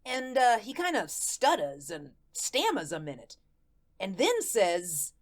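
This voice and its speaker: A woman's voice: mid-range voice, huge pitch swings, slow pace. A woman playing a naive, lovelorn male ghost who narrates his story in folksy, slangy talk, in the style of a radio play. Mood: wistful, earnest. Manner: folksy, colloquial, guileless storytelling.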